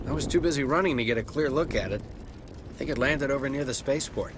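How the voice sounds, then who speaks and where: An actor playing a plain-spoken, defensive colonist farmer who has survived an attack in a video game. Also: male voice, medium pitch, quick pace, noisy background, lightly acted. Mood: uneasy. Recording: game dialogue over loud outdoor ambience.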